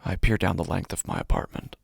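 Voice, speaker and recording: A man performing first-person horror narration as an uneasy city dweller, in hushed, deliberate, short phrases. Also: deep voice, steady tone, vocal fry, breathy edge, no echo, heavily acted. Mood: tense, subdued. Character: uneasy city dweller recounting a creepy homecoming. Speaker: narrator of a horror fiction podcast.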